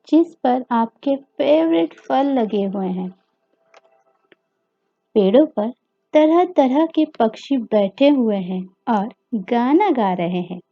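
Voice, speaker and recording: A woman with an Indian accent narrating a guided meditation story for children, gently and with deliberate pauses, over music. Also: a little high. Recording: dull lo-fi audio with music behind.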